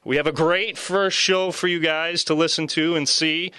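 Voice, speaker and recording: Bright, clear-voiced male sports host. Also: fairly high pitch, steady tone, moderate pace, no echo. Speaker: podcast host. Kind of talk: upbeat sports interview. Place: sports network studio.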